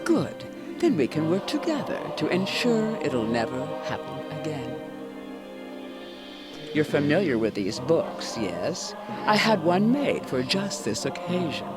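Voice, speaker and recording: A man voicing an ominous eldritch god in a video game, a stern elder uncle speaking formally and deliberately with condescending menace. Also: fairly high pitch, big pitch swings. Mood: coolly persuasive. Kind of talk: theatrical video game villain monologue. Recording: music behind the voice.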